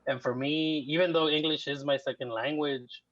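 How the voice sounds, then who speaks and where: A man's voice: a little high, steady tone, normal speed, dry recording. A man in his thirties from Mexico, guest on a podcast, talking at an easy rambling pace with many fillers. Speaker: guest writer. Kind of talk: casual interview. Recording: muffled dry lo-fi.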